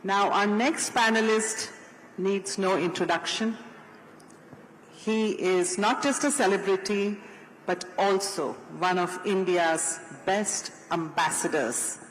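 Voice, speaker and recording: A moderator introducing panelists in a formal, deliberate way at a conference. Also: mid-range voice, fairly bright tone. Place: large conference hall. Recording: echoey hall with faint room noise.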